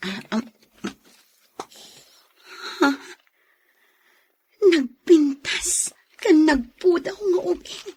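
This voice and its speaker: A woman's voice: very high voice, animated, very slow, pauses often, darkish tone, exaggerated voice. A woman playing a questioning, doubtful wife in a radio drama, with an Ilocano Filipino accent. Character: wife Adora, questioning and doubtful about an adopted baby.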